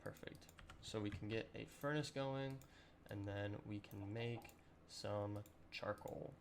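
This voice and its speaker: A young man with a slightly raspy, sore voice, chatting casually and rambling through a let's-play with lots of ums. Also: on the low side, takes it slowly, a little hiss or hum. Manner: relaxed, rambling with many ums and throat clearing. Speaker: gaming channel host.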